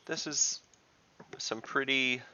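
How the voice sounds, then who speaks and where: A man's voice: mid-range voice, slow, pushed and bright, lo-fi sound. A man playing a tabletop game, thinking his turn through aloud with hesitant counting and asides. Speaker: player.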